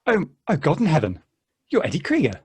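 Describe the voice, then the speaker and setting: A man reading the part of an eager, polite hiker in a stage play table read, with a halting, chuckly, friendly way of talking. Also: on the high side, huge pitch swings, darkish tone, slight background noise. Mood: stunned. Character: earnest, chatty, friendly male hiker, eager to befriend everyone.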